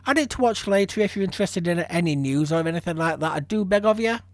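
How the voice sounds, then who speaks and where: A man's voice: high voice, animated, fast, no echo. A man hosting a casual podcast as a creator, rambling and self-deprecating. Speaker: podcast host and audio drama creator. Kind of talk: casual chat.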